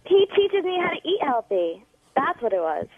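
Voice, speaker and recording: A woman chatting casually as a radio guest, speaking in a halting, vague way. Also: sits high, big pitch swings, phone-quality sound, crowd noise. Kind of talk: casual chat.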